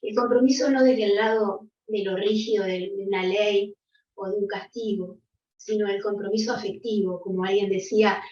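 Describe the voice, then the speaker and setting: An older woman speaking reflectively and gently as a panelist on a video call, often ending with a soft "¿no?". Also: medium pitch, fairly even intonation, normal speed. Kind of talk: reflective conversational talk. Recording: distant, roomy, dull.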